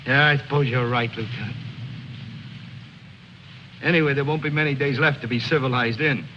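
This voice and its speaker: Male actor as tough needling infantryman; average pitch, sarcastic, noisy vintage film track. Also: moderate pace, lightly acted. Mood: sardonic. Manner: taunting, sarcastic. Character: tough, sardonic soldier who needles his comrades. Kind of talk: 1950s war film acting. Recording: noisy, dull old film soundtrack.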